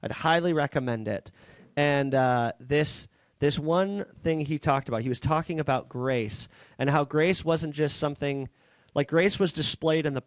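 A man's voice: on the high side, dry recording. Earnest man preaching on a headset mic. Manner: earnest, halting delivery with frequent restarts. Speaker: pastor. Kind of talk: sermon. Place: small church hall set up as a coffee shop.

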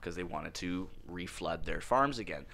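Canadian man, podcast guest, lowish bright voice, chatty storyteller. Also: animated, normal speed, creaky. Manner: chatty storyteller, acts out quotes. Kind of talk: casual chat. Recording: podcast studio mic.

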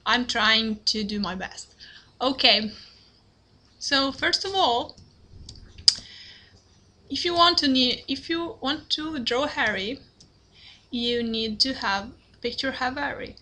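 A young woman from Italy with an Italian accent, talking through a drawing tutorial in halting English, apologetic and full of fillers and restarts. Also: sits high, animated, bright, forceful tone. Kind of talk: hesitant screen-recorded drawing tutorial. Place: at a computer, indoors.

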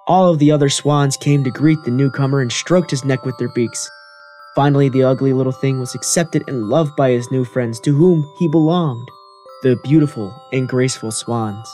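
A man's voice: medium pitch, moderate pace, mellow. A man reading scripted narration for a children's fairy tale. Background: music.

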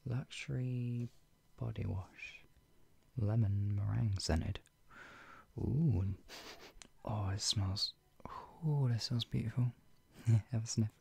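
An actor performing an intimate roleplay as a doting, playful, teasing lover, murmuring with soft hums, giggly hesitations and drops into whispers. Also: mid-range voice, slow pace, pauses often, exaggerated voice. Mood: tender, soothing. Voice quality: breathy, husky, often dropping into whispers. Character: doting, playful, flustered lover tenderly caring for an ill pet-named partner.

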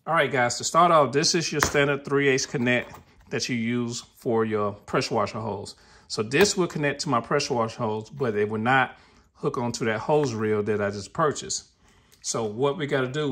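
A man's voice: medium pitch, a bit bright. A middle-aged American man narrating a how-to in an informal way, thinking aloud as he works. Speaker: DIY tutorial host. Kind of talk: casual how-to narration while working. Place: garage workshop, indoors. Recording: echoey garage with noise and clicks.

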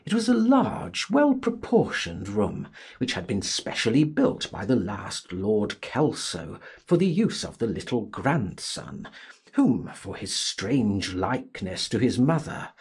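A man narrating a literary audiobook in a dramatic, theatrical style with deliberate phrasing. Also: fairly low pitch, husky.

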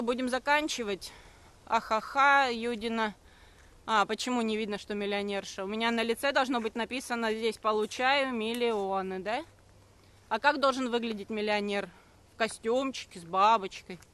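A woman in her thirties, talking on a live stream while she walks a forest path with her phone held at arm's length. She answers viewers' comments briskly and defensively and hesitates often. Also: a little high, fairly bright tone. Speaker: network marketing streamer. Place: walking outdoors on a forest path.

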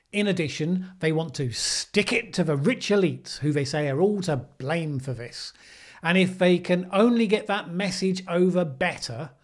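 Guy in his 50s doing sardonic news commentary, slightly high voice. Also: a little mellow. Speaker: host of a weekly news commentary show. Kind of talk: opinionated commentary to camera. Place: small indoor room, plain backdrop. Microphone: microphone on a desk boom arm.

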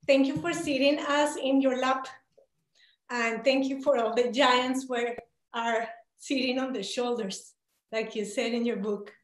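High-pitched young woman, Colombian accent, earnest questioner in an echoey home room on a video call. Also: fairly even intonation, measured pace. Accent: Colombian Spanish. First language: Spanish. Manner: earnest, hesitant, with many fillers. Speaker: participant asking a question. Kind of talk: asking a question in an online Q&A. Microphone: video call laptop.

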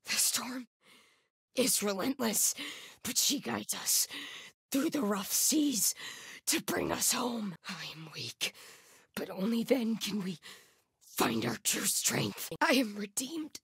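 An actress playing a zealous, hostile cult warrior in a video game, delivering terse, intense lines in short phrases. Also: female voice, on the high side, sing-song, slow, pauses often, husky, dry recording, studio quality, full character voice. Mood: hushed, devout. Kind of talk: combat barks and effort lines for an action game.

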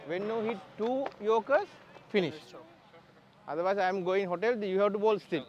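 A man's voice: high-pitched, normal speed, lo-fi sound. A Sri Lankan man coaching bowlers at outdoor nets, giving short, direct instructions in broken English and laughing often. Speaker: bowling coach. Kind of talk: coaching at net practice. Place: outdoor cricket nets at a training ground. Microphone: clip-on mic.

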